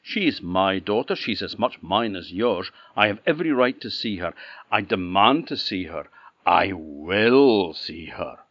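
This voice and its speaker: A stubborn fairy-tale king, forceful and insistent, voiced by a male storyteller, speaking low and raised in volume. The recording is dry and dull. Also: unhurried, few pauses, no echo. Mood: demanding. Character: stubborn demanding king, middle-aged.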